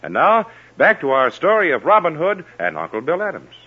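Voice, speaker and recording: A man's voice: fairly high pitch, wildly swooping pitch, fairly quick, over loud music. A man announcing on mid-century children's radio in an instructive, carefully phrased way. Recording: muffled old radio recording.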